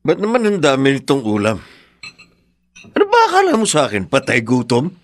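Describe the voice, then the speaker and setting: A Filipino man acting in a radio drama as a troubled, gruff father fresh out of jail who blames himself. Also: medium pitch, huge pitch swings, brisk. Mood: gruff scolding.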